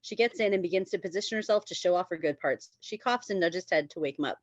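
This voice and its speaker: Lowish-voiced woman reading stage directions. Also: fast talker, muffled recording, background noise. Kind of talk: reading screenplay narration at a table read.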